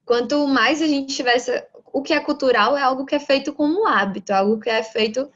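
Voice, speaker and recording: A woman's voice: sits high, a little fast, a bit bright. A woman from Bahia with a Bahian Brazilian accent, giving a lecture on management and often adding rhetorical tag questions. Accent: Bahian Brazilian Portuguese. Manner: explanatory, frequent rhetorical "né?" tags. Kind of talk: online lecture. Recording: dull lo-fi audio.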